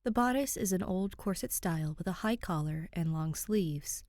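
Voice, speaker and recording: A woman's voice: fairly low pitch, animated, moderate pace, darkish tone, no room sound, studio quality. A woman reading a story aloud as a scripted fiction narrator. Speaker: podfic narrator.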